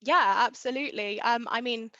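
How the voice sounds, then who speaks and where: A young woman, a riding coach, talking in a casual interview with lots of fillers and a loud, enthusiastic voice. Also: a little high, moderate pace, dry recording. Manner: chatty, many fillers like um and kind of. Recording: dull, muffled sound.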